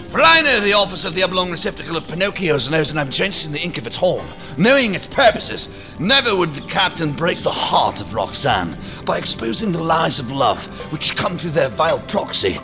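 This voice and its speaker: An actor playing a theatrical mad captain who raves in florid, declamatory riddles in an audio drama. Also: male voice, fairly high pitch, big pitch swings, fairly quick, over music. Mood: agitated, manic.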